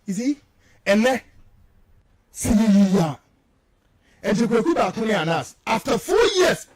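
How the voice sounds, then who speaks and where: A man's voice: high-pitched, big pitch swings, a little slow. A man with a Ghanaian accent giving heated, indignant political commentary on radio, mixing languages and repeating words for emphasis. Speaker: radio show guest commentator.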